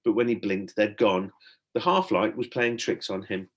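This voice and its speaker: A man narrating a children's storybook in an expressive, dramatic storytelling manner, like a teacher reading aloud. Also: fairly low pitch, normal speed.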